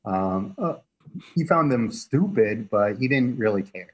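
Man explaining history, lowish voice. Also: a little slow, breathy edge. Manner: relaxed, chuckles while explaining. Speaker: guest expert.

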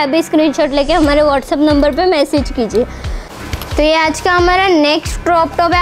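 A young woman presenting outfits in a shop, with upbeat and repetitive sales patter, recorded on a lapel mic. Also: sits high. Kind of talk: product showcase sales pitch. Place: indoor shop with green wall.

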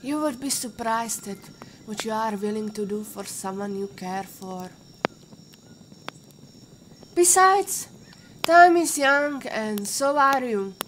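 An actress playing a patient, caring warrior mentor with a Russian accent and formal, instructive phrasing, in an audio drama. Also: female voice, a little high, expressive, slow pace, pauses often, fairly bright tone, a little hiss or hum, poor audio. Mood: gentle, reflective. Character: patient female warrior mentor, former Interpol agent, caring teacher.

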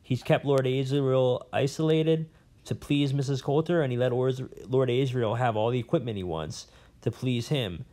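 A man's voice: mid-range voice, moderate pace, dark tone, close to an everyday voice. A man voicing a pompous, rambling old male professor in an audiobook, blustering and fussy. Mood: conspiratorial. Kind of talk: audiobook character voice.

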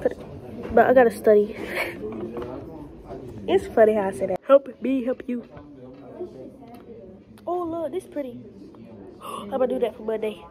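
A woman's voice: a little high, measured pace, long pauses, a crowd in the background. A young woman with a stuffed-up nose, chatting and joking as she vlogs her school day into a phone held at arm's length. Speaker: vlogger. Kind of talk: casual school vlog. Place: classroom.

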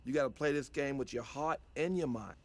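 A steady older mentor in a game cutscene, voiced by a man, calm and fatherly. His voice sits mid-range, and he gives advice in an even, patient way. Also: medium pitch, brisk, natural voice. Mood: advising. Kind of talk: video game cutscene acting.

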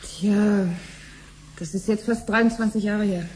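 An actress playing an unassuming, conciliatory middle-aged wife in a radio drama. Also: female voice, mid-range voice, fairly even intonation, takes it slowly, a little mellow, natural voice. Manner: apologetic, unassuming, casual. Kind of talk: 1970s German radio drama acting. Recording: slightly noisy old radio drama recording.

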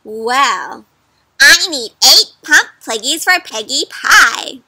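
Storybook witch: a woman voicing a greedy, short-tempered crone who yells shrill, high demands in a sharp, bright tone. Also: lively intonation, slow pace, no room sound, full character voice. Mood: angry shouting. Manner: shouting, shrill, bossy demands.

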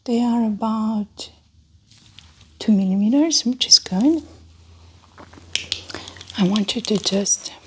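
A woman doing a soft-spoken ASMR medical roleplay as a doctor, often whispering, with gentle, reassuring step-by-step instructions. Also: fairly high pitch, slow pace, long pauses, a little hiss or hum. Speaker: ASMR performer playing a doctor.

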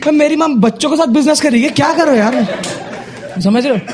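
High-voiced young Indian stand-up comedian, a man. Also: brisk, crowd noise. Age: young adult. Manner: conversational, exasperated, acting out dialogues. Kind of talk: stand-up comedy storytelling in casual Hinglish. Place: small indoor comedy club stage. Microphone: handheld microphone. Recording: noisy live club with audience laughter. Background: audience laughter and noise.

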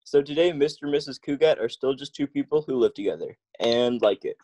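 A man's voice: mid-range voice, brisk, mellow, dry recording. A man announcing a scripted old-time radio comedy as its narrator.

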